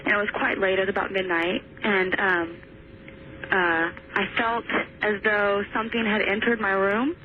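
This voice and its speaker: A woman's voice: medium pitch, little pitch movement, normal speed. Tentative young woman on a tinny phone. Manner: tentative, hesitant. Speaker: caller to radio show. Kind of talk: casual recounting of a personal experience. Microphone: telephone. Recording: tinny phone line, faint noise.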